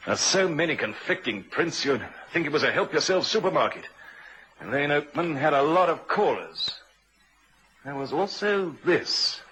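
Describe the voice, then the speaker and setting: An actor playing a shrewd, probing police inspector with a husky voice in a vintage radio crime drama. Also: male voice, a little high, expressive, normal speed, bright, forceful tone, full character voice, poor audio. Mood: brisk, reflective.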